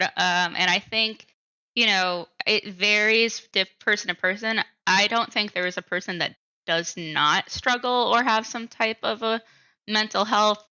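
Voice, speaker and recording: Bright-voiced woman in her twenties, dull audio. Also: mid-range voice, no room sound. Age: 20s. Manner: informal, peppered with "like" and "you know". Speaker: interview guest, PhD student. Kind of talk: candid personal interview answers.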